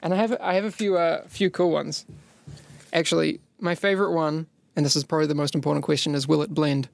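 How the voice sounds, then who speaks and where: A man's voice: a little high, steady tone, quick pace, vocal fry, no echo. A man with a New Zealand accent hosting a casual podcast chat, joking and laughing often. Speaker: podcast host.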